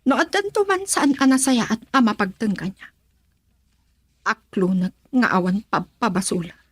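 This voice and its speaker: Headstrong young bride-to-be, husky-voiced woman acting. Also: fairly high pitch, sing-song, normal speed, dry recording. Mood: defiant. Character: headstrong young bride-to-be defying her father. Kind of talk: Ilocano radio drama acting.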